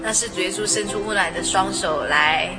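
A woman hosts a radio interview in a conversational way, with music clearly behind her. Her pitch sits in the middle range for a woman. She leads with questions and often echoes what her guest says. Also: fairly quick.

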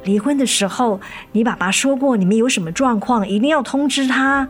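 一位女演员在广播剧中饰演操心又疲惫、爱叮咛的母亲。. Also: female voice, a little high, a little fast, soft background music, close to an everyday voice. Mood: sorrowful. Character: worried, weary, nagging mother of two daughters. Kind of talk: radio drama acting.

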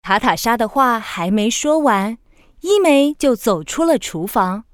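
An actress playing a gentle storybook narrator, reading scripted narration for a children's audio drama. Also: female voice, a little high, expressive, unhurried, no echo, clean studio sound. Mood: storytelling.

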